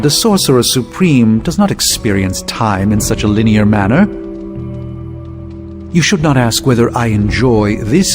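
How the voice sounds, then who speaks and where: An actor playing a pompous, theatrical sorcerer who talks in lofty, verbose riddles in a video game. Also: male voice, mid-range voice, natural voice. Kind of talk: video game cutscene acting.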